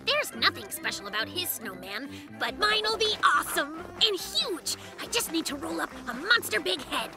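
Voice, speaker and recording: A woman voicing a boastful, fibbing little boy bear cub in a children's cartoon, with excitable, dramatic delivery. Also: very high voice, moderate pace, few pauses. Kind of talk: children's cartoon dub acting. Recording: music behind the voice.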